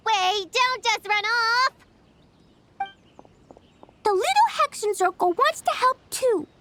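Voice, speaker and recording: A woman voicing a tiny, excitable floating companion sprite who chatters brightly and calls herself by name. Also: extremely high pitch, takes it slowly, noisy background, full character voice. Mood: chirpy. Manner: chirpy, speaks of herself by name. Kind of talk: video game voice acting.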